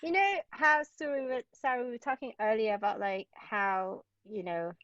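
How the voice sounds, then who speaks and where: A woman's voice: on the high side, normal speed, a bit bright. A woman from Hong Kong chatting enthusiastically about plays as a guest playwright, digressing and peppering her talk with "like" and "you know". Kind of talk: casual literary discussion. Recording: distant, dull lo-fi sound.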